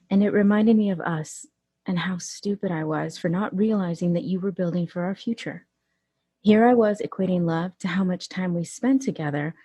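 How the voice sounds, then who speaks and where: A woman acting in an audio drama as a bold, flirtatious, gossipy best friend with a sassy, expressive conversational manner. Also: fairly low pitch, breathy edge.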